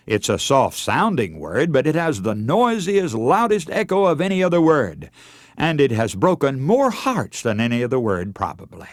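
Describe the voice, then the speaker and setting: Emphatic preaching man, lively pitch. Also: no room sound, clean studio sound. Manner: earnest, emphatic preaching with dramatic pauses. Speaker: radio preacher. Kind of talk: radio sermon.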